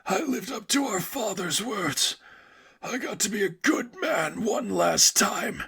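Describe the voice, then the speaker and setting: A man voicing a dying, defiant young man, bitter and weary, in a strained, gravelly, halting voice. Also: high voice, lively intonation, bright, forceful tone, voice pushed up, exaggerated voice. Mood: weary, wistful. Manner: halting, pained, drawn-out delivery.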